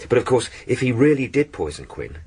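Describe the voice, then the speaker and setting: An actor with a British accent playing a respectful, methodical police sergeant explaining things in a radio drama. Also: male voice, medium pitch, sing-song, brisk, mellow, slightly rough, exaggerated voice. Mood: earnest, puzzled. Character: deferential, earnest male police sergeant, methodical.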